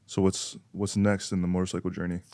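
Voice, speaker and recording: A man hosting a podcast, chatting in a relaxed, rambling way with many fillers. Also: deep voice, brisk, clean tone, no room sound. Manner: laid-back, filler-heavy, rambling. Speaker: podcast host. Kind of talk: casual chat.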